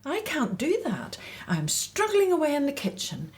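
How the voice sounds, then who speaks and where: Marta, casalinga esasperata, voce acuta. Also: female voice, sits high, wildly swooping pitch, moderate pace, heavily acted. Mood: flustered, pleading. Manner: exasperated, complaining, flustered. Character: fussy, overworked, resentful housewife of Bible times. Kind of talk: radio play acting.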